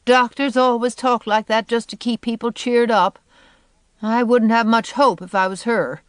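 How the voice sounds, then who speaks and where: A woman's voice: fairly high pitch, steady tone, moderate pace, clear, resonant tone, dry recording, pitched above the performer's own voice, close to an everyday voice. A woman voicing a sour, gloomy spinster in her fifties, scornful and blunt, with folksy rural phrasing, in an audiobook. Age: 50s. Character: sour, contemptuous, gloom-loving 57-year-old spinster. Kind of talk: audiobook character voice.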